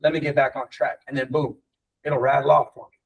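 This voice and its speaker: Blunt, fast-talking man coaching his team. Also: mid-range voice, level tone, creaky, distant mic, narrow, tinny audio. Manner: blunt, passionate, swears casually. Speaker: real estate team leader. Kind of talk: casual coaching talk in a team meeting.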